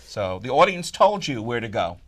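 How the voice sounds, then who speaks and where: A man chatting casually as an interviewee, telling stories in a joking, animated way. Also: mid-range voice, normal speed. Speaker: vaudeville performer, interviewee. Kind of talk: casual group interview chat.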